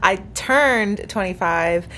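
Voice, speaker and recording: A woman's voice: mid-range voice, animated, slow pace, pushed and bright, a little hiss or hum. A woman of twenty-five talking casually and earnestly to the camera from a hotel room, grateful and chatty. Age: 20s. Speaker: vlogger. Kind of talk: casual reflective vlog talk. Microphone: camera held close at arm's length.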